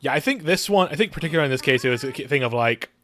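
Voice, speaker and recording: A man livestreaming a video game, chatting casually in a halting, rambling way with many false starts. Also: a little high, lively intonation, fairly bright tone.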